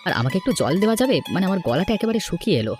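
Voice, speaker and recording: A man voicing a polite, helpful young homeless villager in an animated folk tale, earnest and humble. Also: high voice, racing, faint music behind.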